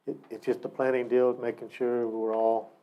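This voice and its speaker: A man, a county commissioner, talking at a public meeting in a halting, rambling way that repeats and restarts itself. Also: medium pitch, level tone, muffled recording. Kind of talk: casual remarks at a public meeting.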